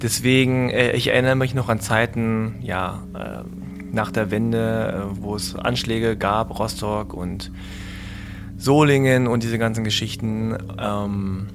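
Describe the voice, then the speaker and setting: Reflective man in his mid-forties, lowish voice. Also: steady tone, slow, creaky, background noise. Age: mid-40s. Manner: hesitant, searching for words, reflective. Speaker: podcast discussion partner. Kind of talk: casual conversation.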